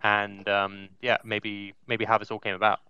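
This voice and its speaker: Deep, crisp-voiced man in muffled lo-fi audio. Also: monotone, moderate pace, pushed and bright, dry recording. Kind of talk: casual explanatory talk. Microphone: voice chat. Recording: dull, dry lo-fi online audio.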